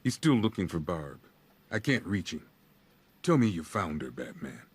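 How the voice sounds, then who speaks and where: Gruff worried cop, deep husky voice, blunt, streetwise, game cutscene. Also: male voice, very animated, normal speed, heavily acted. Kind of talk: video game cutscene acting.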